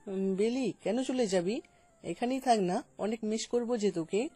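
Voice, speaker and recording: A woman's voice: medium pitch, normal speed, mellow, slightly rough, no room sound, voice pushed down, close to an everyday voice. A woman voicing a young boy pleading affectionately with his close friend in an audio story.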